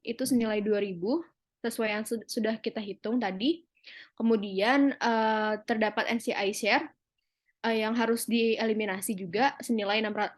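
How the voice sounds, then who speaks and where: A young woman giving an explanatory class presentation as a student, halting with frequent hesitation pauses. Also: a little high. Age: young adult.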